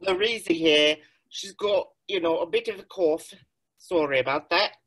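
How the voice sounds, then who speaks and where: A woman co-hosting a podcast, playing along in a put-on accent with slow, playful chat. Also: on the low side, slightly rough, poor audio. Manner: slow and playful, joining in the bit. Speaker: podcast co-host.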